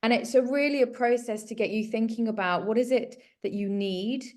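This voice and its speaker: A woman's voice: fairly high pitch, fairly quick, darkish tone. A woman in her thirties from South Africa, a guest talking casually and earnestly about her work and her story. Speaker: guest, home organiser. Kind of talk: casual conversation.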